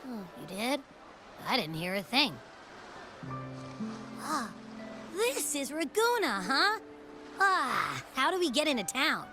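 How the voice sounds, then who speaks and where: A woman's voice: high voice, wildly swooping pitch, takes it slowly, pauses often, music in the background, exaggerated voice. An actress playing a young woman just waking up, bewildered. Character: drowsy confused young woman.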